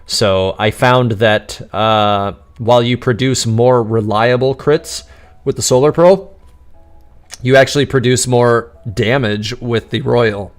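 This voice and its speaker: A man hosting a live-stream Q&A, answering chat questions in an exuberant, radio-like way and enunciating words crisply despite quick restarts. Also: mid-range voice, soft background music. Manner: talks fast with frequent restarts and laughs.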